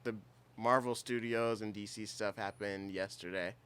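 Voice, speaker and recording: A man's voice: fairly low pitch, fairly even intonation, normal speed, a bit bright, smooth. A man co-hosting a podcast outdoors, casually steering the conversation. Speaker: podcast co-host. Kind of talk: casual chat.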